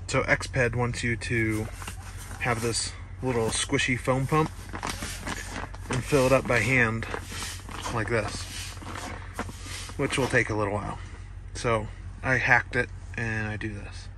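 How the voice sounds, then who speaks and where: Casual male outdoor vlogger, thirties, mid-pitched, laid-back, camera at arm's length, noisy outdoor background. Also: slow pace, long pauses. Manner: relaxed, matter-of-fact show-and-tell with fillers. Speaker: overland camping vlogger. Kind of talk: casual vlog narration. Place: outdoors at a forest campsite.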